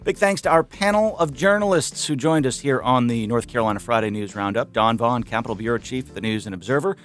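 A man hosting a public radio news roundup from a studio, relaxed with joking asides. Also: medium pitch, fast, faint music behind. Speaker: radio show host. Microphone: studio microphone.

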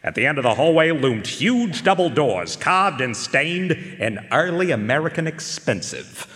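Wry private eye, hall reverb. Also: male voice, a little high, measured pace. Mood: deadpan narrating. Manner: deadpan, hardboiled narration. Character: second-rate, rumpled, wry private eye narrator. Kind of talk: noir radio drama acting. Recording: live in echoey concert hall.

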